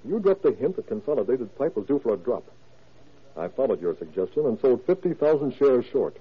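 A man's voice: medium pitch, sing-song, fairly quick, slightly rough. An actor playing a proud, desperate businessman with a blunt, insistent manner in an old-time radio drama. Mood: blunt, tense. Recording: tinny, narrow-band vintage radio recording.

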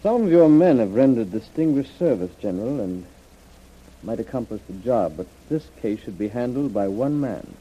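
An actor playing a grave, anxious government official with a formal, deliberate delivery in a 1930s radio drama. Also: male voice, mid-range voice, expressive, natural voice. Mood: grave, urgent. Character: solemn, anxious government official planning dangerous secret mission. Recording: muffled, dull old radio recording.

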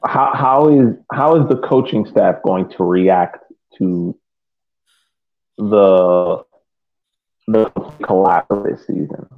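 Lowish male voice on a tinny, phone-like line. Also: slow pace, pauses often. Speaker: podcast co-host. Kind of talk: casual sports discussion.

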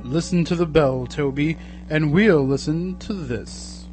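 A man's voice: fairly high pitch, unhurried, a little mellow, soft background music, close to an everyday voice. A man acting a solemn, urgent character in an eerie, theatrical radio drama.

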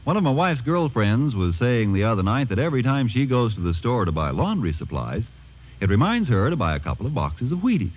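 Animated American radio pitchman. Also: fairly quick. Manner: folksy, chatty, salesy. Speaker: announcer and pitchman. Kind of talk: classic radio advertising read. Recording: dull vintage radio recording.

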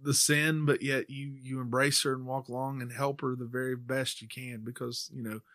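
Man, American accent, podcast host, informal conversational delivery, mid-pitched voice, dry recording. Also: little pitch movement, moderate pace, pushed and bright, dry recording. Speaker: church member speaking on faith. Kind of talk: casual discussion.

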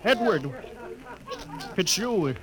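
A man's voice: high-pitched, animated, drawn-out words, crowd noise, full character voice, rough recording. A man playing a stern, rattled rocket ship captain with a commanding, clipped delivery in old-time radio drama. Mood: stunned, moved.

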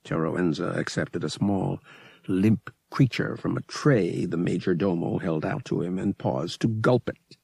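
Slow, gravelly, expressive male audiobook narrator. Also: low voice, no room sound. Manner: deliberate, expressive storytelling. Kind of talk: scripted dramatic narration.

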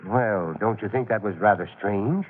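An actor playing a steady, skeptical, fatherly older fire chief in an old-time radio drama, with a measured, questioning manner. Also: fairly low pitch, close to an everyday voice. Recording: noisy tinny vintage radio recording.

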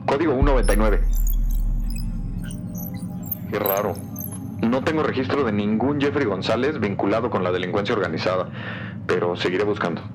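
An actor playing an efficient, businesslike police colleague in a crime audio drama. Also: male voice, on the low side, brisk, long pauses, close to an everyday voice, rough recording. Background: music bed.

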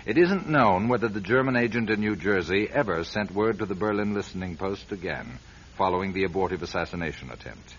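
Deep-voiced grave radio narrator. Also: male voice, slight background noise. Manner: grave, deliberate storytelling. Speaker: narrator and writer-director. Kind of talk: scripted dramatic radio narration. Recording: dull lo-fi radio recording.

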